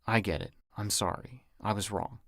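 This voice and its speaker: A man's voice: lowish voice, moderate pace, creaky, fairly bright tone, no echo, clean studio sound. A man reading an audiobook as an anxious, apologetic husband who speaks in a hesitant, submissive way. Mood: apologetic, somber.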